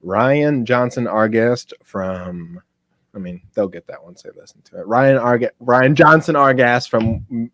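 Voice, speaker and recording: A male podcast host with an American Midwestern accent talks casually and informally, with swearing mixed in. His pitch sits in the middle for a man. The sound is dry, with very little room in it. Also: measured pace, fairly bright tone, no echo. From Illinois, US. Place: basement room.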